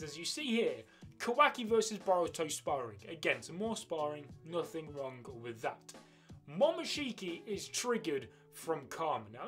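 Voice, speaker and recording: A young man with a slightly high voice presents fan news in an excited, emphatic way. He breaks up his phrases with dramatic pauses on the key words. Music plays faintly behind him. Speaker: host of an anime news channel. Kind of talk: excited fan commentary on manga spoilers. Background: background music.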